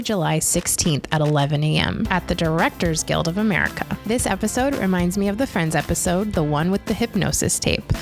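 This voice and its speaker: A woman in her thirties hosting a podcast, bubbly and giggly, talking fast and jittery on coffee through headphones. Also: on the low side. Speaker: podcast host. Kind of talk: casual interview chat.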